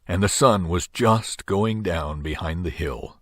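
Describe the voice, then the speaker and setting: An older man reading a children's story aloud with dramatic, drawn-out phrasing. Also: low-pitched, dry recording, studio quality. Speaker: storybook reader.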